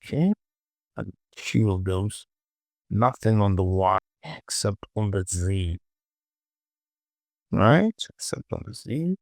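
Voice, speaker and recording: Male tutorial host, unhurried and croaky, casual self-muttering narration with repeated short phrases. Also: fairly low pitch, lively intonation, no echo, clean studio sound. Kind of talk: casual screen-recorded software tutorial narration.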